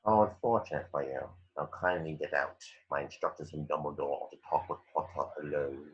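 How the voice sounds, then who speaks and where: A man acts out a disdainful wizard professor in a fantasy script read. His voice sits very low, and his speech is formal, curt and condescending, cold through and through. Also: fairly even intonation, a little fast, muffled recording.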